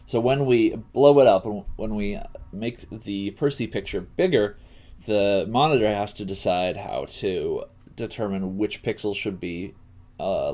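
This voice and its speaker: A man giving a casual live coding tutorial as a teacher, thinking aloud in a halting way while he works. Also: fairly low pitch, darkish tone.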